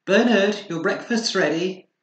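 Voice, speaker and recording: A man's voice: high-pitched, unhurried, pitched above the performer's own voice. A man voicing a busy, caring mother bear in a children's story, calling and coaxing. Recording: roomy echo, faint background noise.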